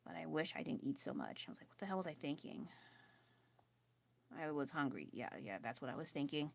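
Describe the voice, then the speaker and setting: A woman chatting casually while painting on a live stream at home, self-deprecating and quick to laugh, with a loud voice. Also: low voice, expressive, vocal fry. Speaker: artist livestreaming her painting. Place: at home with family nearby.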